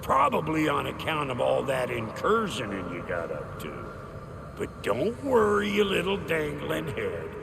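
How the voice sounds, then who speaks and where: An actor playing a cantankerous, foul-mouthed dwarf blacksmith in a video game, gruff and brash. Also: male voice, fairly high pitch, huge pitch swings, unhurried, background noise, exaggerated voice. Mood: indignant, grumbling. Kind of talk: video game cutscene acting.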